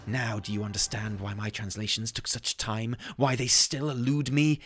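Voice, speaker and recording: A man's voice: lowish voice, little pitch movement, normal speed, fairly bright tone, soft background music, close to an everyday voice. A man voicing an obsessive, rambling scholar-explorer in a theatrical, grandiose reading of fantasy game expedition notes. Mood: grandiose musing.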